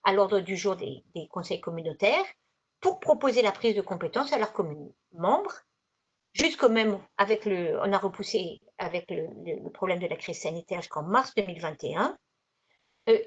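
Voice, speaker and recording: A woman presenting slides as a trainer in an online session, explaining in a conversational, didactic way and rephrasing as she goes. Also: mid-range voice. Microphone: video conference microphone. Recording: dull, lo-fi video call audio.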